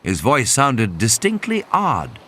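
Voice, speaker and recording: A man's voice: mid-range voice, huge pitch swings, takes it slowly, pushed and bright, a little hiss or hum. A man narrating a novel as a scripted dramatic audiobook. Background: music and sound effects.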